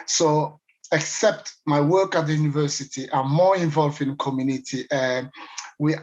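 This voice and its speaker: Guy from congo chairing a video call panel, lots of uhs, kinda high voice, muffled lo-fi audio. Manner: hesitant, many fillers and restarts. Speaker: panel chair, mental health researcher. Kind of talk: online panel introduction.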